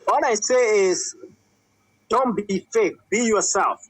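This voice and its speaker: A high-pitched man from Kenya answers interview questions as a musician and comedian. He speaks earnestly and presses his points by repeating them. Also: slow pace, breathy edge, no echo, rough recording. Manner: earnest, repeats emphatic advice.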